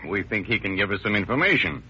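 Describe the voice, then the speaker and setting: A no-nonsense cop in a vintage crime broadcast. He speaks rapidly, in a hard, forceful voice. The sound is narrow and tinny. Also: lowish voice, animated, husky, heavily acted. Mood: brisk. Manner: clipped, businesslike. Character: brisk, steadying, no-nonsense male police detective. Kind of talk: old-time radio crime drama acting. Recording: tinny, phone-like old radio recording.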